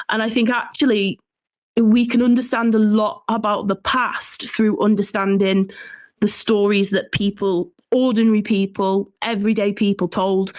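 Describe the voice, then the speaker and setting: Woman, English accent, medium pitch, conversational storytelling, enthusiastic, dry acoustics. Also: dry recording. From Shropshire, England. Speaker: guest, folklore writer and researcher.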